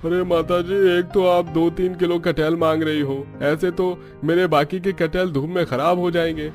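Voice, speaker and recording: A man's voice: on the high side, fairly even intonation, moderate pace, darkish tone, soft background music, natural voice. A man voicing a persuasive street vegetable seller in a family cartoon, pressing a bargain like a salesman. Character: pushy street vegetable seller talking up his jackfruit.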